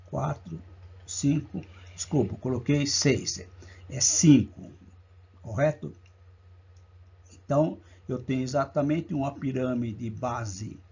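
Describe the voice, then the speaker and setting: A man's voice: fairly high pitch, creaky, a little mellow, husky, lo-fi sound. A man with a Brazilian Portuguese accent teaching math for a public exam, working through problems step by step and counting out each part aloud. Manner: slow, deliberate, counts steps aloud. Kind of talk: exam-prep lesson walkthrough.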